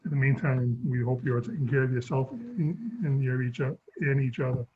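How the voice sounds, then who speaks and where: A man with a fading, husky voice chairs a town sewer commission meeting over a video call, stumbling through a scripted opening. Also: medium pitch, brisk, a little hiss or hum. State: losing his voice. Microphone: remote video call. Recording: dull lo-fi call audio.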